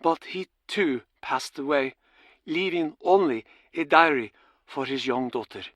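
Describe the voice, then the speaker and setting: A man acting as a storyteller, solemnly recounting an old town legend in a measured, dramatic way for a game. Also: a little high, fairly bright tone, no room sound.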